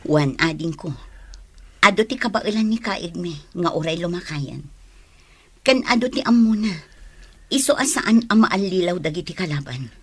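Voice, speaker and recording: A woman's voice: on the low side, animated, normal speed, long pauses, breathy edge, no echo. A woman playing a questioning, admonishing older-sister figure in a radio drama, advising a young recruit to a hideout group. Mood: concerned, advising. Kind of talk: Ilocano radio drama acting.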